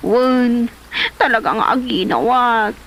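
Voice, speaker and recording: A woman's voice: on the high side, takes it slowly. A woman playing a stubborn, playful pregnant wife in an Ilocano comedy drama, insistent and wheedling, with an Ilocano Filipino accent. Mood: playful insistence. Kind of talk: Ilocano comedy radio-style drama acting.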